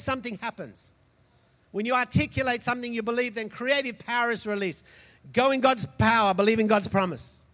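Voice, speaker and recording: A man preaching a sermon, impassioned, swinging between loud shouts and soft, quick asides. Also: extremely high pitch. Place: large hall converted into a church.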